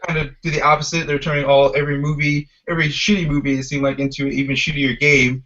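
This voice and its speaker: A man's voice: fairly high pitch, little pitch movement, fast talker, a bit bright. A man hosting a casual podcast discussion, chatting through dull, lo-fi audio. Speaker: podcast host.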